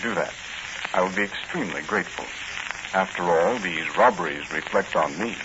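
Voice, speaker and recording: Harried authoritative manager, lowish animated voice, polite, vintage radio drama, muffled noisy sound. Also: male voice, normal speed. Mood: guarded. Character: formal, harried hotel manager in charge. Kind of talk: 1940s radio acting.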